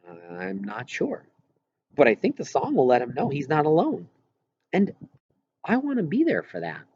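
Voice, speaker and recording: A man's voice: a little high, huge pitch swings, normal speed, a little mellow, breathy edge, dry recording, lo-fi sound, pitched above the performer's own voice, exaggerated voice. A man reading an audiobook as a hopeful young kid, earnest and a little unsure but determined. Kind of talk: audiobook character dialogue.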